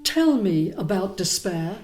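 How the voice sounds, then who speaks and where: A woman giving a reflective poetry reading in a measured way, with deliberate pauses between phrases. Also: medium pitch, big pitch swings. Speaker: poetry reader. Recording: echoey, with woodwind music over the voice. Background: prominent woodwind music.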